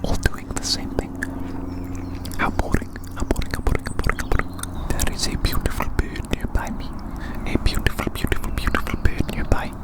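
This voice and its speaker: An ASMR performer speaking in a breathy whisper, rambling gently with repeated phrases, formal wording and soft tag questions. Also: low voice, completely flat delivery, normal speed.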